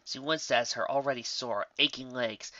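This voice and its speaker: A man's voice: medium pitch, normal speed, bright, forceful tone, dry recording, muffled recording. A man reading a scripted story aloud as its narrator. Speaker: narrator reading fan fiction.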